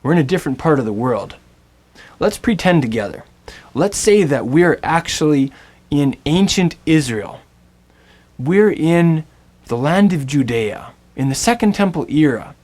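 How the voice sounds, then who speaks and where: Man teaching with slow dramatic pauses. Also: a little high, lively intonation, darkish tone. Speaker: Biblical Hebrew teacher. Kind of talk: scripted storytelling lesson.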